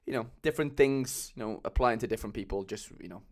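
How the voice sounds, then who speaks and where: A man's voice: medium pitch, quick pace, darkish tone. Chatty young man with a Cardiff accent. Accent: Cardiff Welsh. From Wales. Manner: chatty, loose, many fillers, calls viewers lads. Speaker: livestream host. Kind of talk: casual livestream chat. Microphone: mic on a boom arm.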